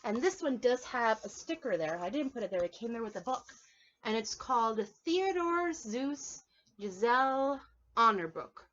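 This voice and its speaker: A woman preschool teacher reading a storybook aloud to young children in an animated, instructive way, often putting questions to her listeners. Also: a little high, moderate pace, lo-fi sound.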